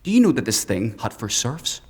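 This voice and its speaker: An actor playing a sadistic, fanatical villain in a dark fantasy audio drama, with taunting, declamatory delivery and a gravelly voice. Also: male voice, medium pitch, big pitch swings, moderate pace. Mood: menacing, taunting. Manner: taunting, declamatory, swings from hushed to screaming. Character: sadistic zealous male villain, menacing, theatrical, fanatical.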